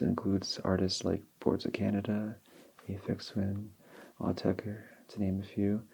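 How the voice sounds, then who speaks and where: A young man whose first language is Polish, speaking softly and slowly as an ASMR creator answering viewer questions. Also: deep voice, gravelly, slightly rough. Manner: slow and relaxed, with small restarts. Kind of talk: soft-spoken ASMR Q&A. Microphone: handheld field recorder.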